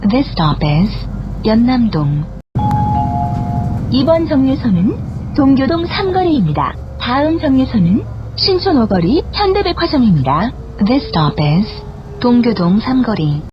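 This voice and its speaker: A woman reading scripted bus stop announcements in a formal, measured, carefully enunciated way. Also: fairly high pitch, very animated, music in the background. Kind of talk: scripted public transit announcement. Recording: dull, noisy bus speaker capture. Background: bus engine and cabin noise.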